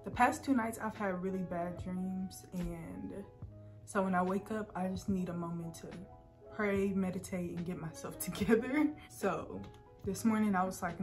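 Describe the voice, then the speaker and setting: A young woman with a Southern US accent, chatting casually to her phone camera in a small apartment bedroom for her vlog. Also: mid-range voice. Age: young adult. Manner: chatty, relaxed, slangy. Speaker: vlogger, college student. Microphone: phone camera at arm's length.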